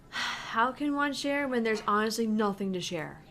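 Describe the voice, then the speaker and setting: A woman's voice: a little high, animated, slow, very noisy. A woman co-hosting a gaming show, reading a visual novel aloud and commenting casually.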